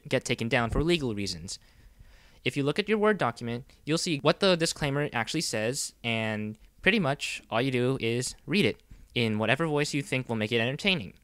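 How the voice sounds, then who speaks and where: A man's voice: mid-range voice, a bit bright, dry recording. Easygoing man explaining audition steps. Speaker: series creator giving audition instructions. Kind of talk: casual scripted tutorial narration.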